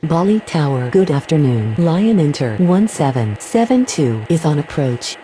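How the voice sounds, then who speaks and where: A woman's voice: sits low, big pitch swings, moderate pace, dark tone. A woman playing an airline pilot or controller in a game, speaking scripted air traffic phraseology. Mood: procedural.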